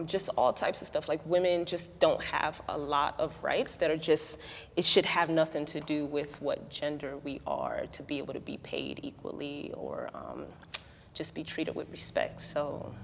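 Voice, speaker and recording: Low-voiced woman, animated and conversational. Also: unhurried, creaky, big-room sound, a little hiss or hum. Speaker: writer and poet, debate participant. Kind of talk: casual debate.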